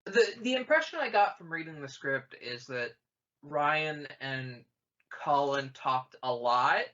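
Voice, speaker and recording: Bright-voiced host steering podcast chat. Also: male voice, on the high side, expressive, unhurried, dull audio. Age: 30s. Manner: laughing, moderating, steering the talk. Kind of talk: casual chat.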